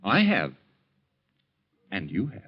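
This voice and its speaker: An actor playing a reflective, quietly needling bank teller with an American accent in a vintage radio drama. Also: male voice, deep voice, huge pitch swings, slow pace, husky, heavily acted. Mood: telling a story. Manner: reflective, storytelling, pointed. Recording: dull lo-fi old radio recording.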